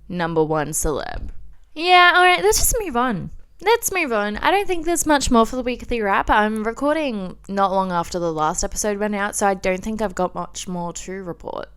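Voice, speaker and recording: A woman's voice: medium pitch, fairly bright tone, smooth. A young Australian woman hosting a solo podcast, chatting in an informal, confiding and rambling way peppered with "like". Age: young adult. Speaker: podcast host.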